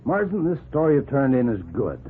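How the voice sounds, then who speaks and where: An actor in a 1940s radio drama playing a gruff but approving newspaper editor, emphatic and bossy. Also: male voice, mid-range voice, big pitch swings, a little fast, slight background noise, close to an everyday voice. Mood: firm, approving. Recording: narrow old 1940s radio recording.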